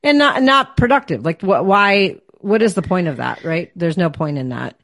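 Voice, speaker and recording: A woman's voice: lowish voice, lively intonation, normal speed, fairly bright tone, no echo. Assertive woman host on a dry mic. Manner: assertive, probing, affirming. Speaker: podcast host. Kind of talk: conversational interview chat.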